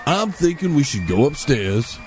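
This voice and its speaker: Man playing an exasperated, grumbling sidekick, swooping pitch. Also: big pitch swings, moderate pace, soft background music. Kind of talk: comic bickering.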